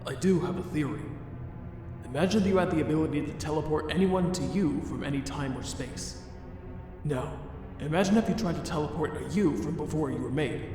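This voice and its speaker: A man voicing a weary, self-loathing skeleton scientist in a dramatic comic dub, speaking in formal, resigned, deliberate phrases. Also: a little high, lightly acted. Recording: echoey hall reverb under music.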